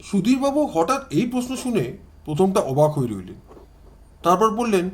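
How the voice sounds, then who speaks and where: A man's voice: high-pitched, very animated, normal speed. A man reading a scripted story aloud as a dramatic audio narrator.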